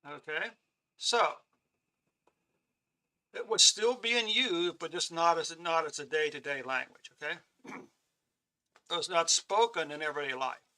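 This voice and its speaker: A man teaching a live-streamed Bible lesson, talking haltingly with many restarts and clearing his throat, often checking in with "okay?". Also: fairly high pitch, pushed and bright, poor audio. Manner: reads from on-screen notes and explains them.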